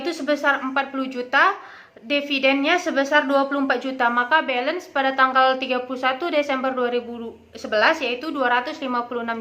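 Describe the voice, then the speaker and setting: A woman's voice: high voice, sharp tone. A young woman giving a student presentation, reading figures aloud and mixing in English terms. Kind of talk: scripted presentation of accounting figures.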